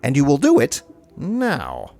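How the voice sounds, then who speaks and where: A scheming spy villain with a commanding, hypnotic manner. The delivery swoops dramatically over light music, in the style of a comic audio drama. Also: male voice, medium pitch, huge pitch swings, slow pace, dark tone, exaggerated voice. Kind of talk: comedic audio drama acting.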